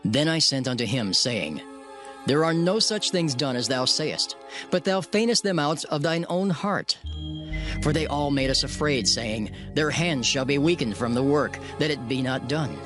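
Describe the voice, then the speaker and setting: A man narrating scripture in the first person in a dramatized reading, solemn and measured. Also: mid-range voice, lively intonation. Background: music.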